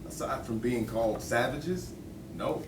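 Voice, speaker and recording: A man's voice: mid-range voice, a little slow, lightly acted. A man at a table read playing an outspoken man debating history in a conversational, emphatic way. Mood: indignant. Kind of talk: script table read of docudrama dialogue. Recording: roomy, distant mic, noisy background.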